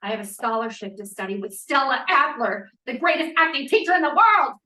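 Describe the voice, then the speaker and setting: Casting: woman as free-spirited, argumentative college feminist, 22, stage drama, highish bright voice. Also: lively intonation, a little fast. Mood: excited, idealistic. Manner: emphatic, argumentative, theatrical. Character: defiant twenty-two-year-old drama student, free-spirited feminist. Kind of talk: dramatic table read of a play. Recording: roomy, echoey, distant microphone.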